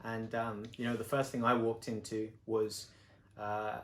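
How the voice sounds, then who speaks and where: A man's voice: fairly low pitch, little pitch movement, measured pace, a bit bright. A man, hosting a casual interview with playful, joking banter. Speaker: host interviewing his partner. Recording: echoey room.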